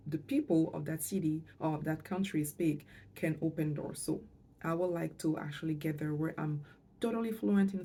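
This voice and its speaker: Casting: middle-aged female vlogger, mother tongue, deep warm voice, hesitant and reflective delivery. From Canada, moved there with parents, lived in Montreal. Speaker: financial coach and vlogger. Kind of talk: personal vlog talk to camera.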